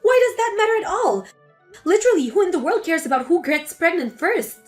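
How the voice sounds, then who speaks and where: A woman's voice: extremely high pitch, big pitch swings, brisk, soft background music, pitched above the performer's own voice. A woman voicing an angry adult daughter confronting her mother in a scripted text-story drama. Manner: accusing, exasperated.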